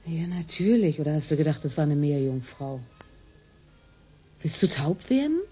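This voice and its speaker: An actress in a radio play playing a cool, insistent woman with a husky, breathy voice. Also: low voice, big pitch swings, normal speed, warm tone, heavily acted. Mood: scolding sarcasm.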